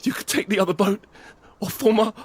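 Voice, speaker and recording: An actor playing an injured, desperate father in a first-person audio drama, halting as he speaks. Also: high-pitched, breathy edge. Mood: desperate, pleading. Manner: halting, repetitive, pleading in dialogue. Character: injured, desperate father pleading to save his family.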